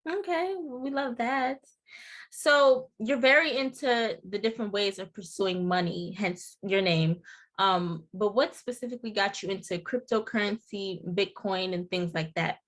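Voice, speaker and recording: Female interviewer, friendly affirming delivery, mid-pitched. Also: a little slow. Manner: upbeat, affirming, "we love that". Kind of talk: interview questions.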